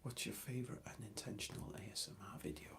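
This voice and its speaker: A man whispering an ASMR question-and-answer ramble in a soft, hesitant and thoughtful way. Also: lowish voice, expressive, quick pace.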